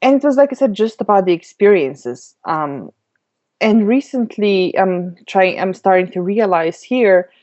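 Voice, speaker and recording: A woman's voice: medium pitch, lively intonation, a little slow, a little mellow. A woman from Slovenia, chatting as a guest on an interview podcast, laughing easily with many ums. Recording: dull lo-fi audio.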